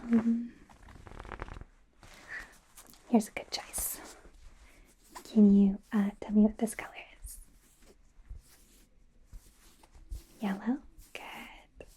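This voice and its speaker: Slow whispering woman as a flustered werewolf doctor. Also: medium pitch, little pitch movement. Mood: gentle, focused. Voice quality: soft breathy whisper. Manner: hesitant, stammering, softly flustered. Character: bumbling female werewolf doctor in training, defensive, eager. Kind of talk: whispered ASMR medical exam roleplay. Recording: faint background noise, close-up whispering.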